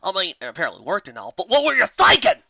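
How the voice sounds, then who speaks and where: A woman's voice: mid-range voice, huge pitch swings, fast, sharp tone, no echo, highs cut off, pitched above the performer's own voice, heavily acted. A woman voicing a plain-spoken, no-nonsense young female pony in a storybook, with a folksy, blunt manner. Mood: blunt, baffled.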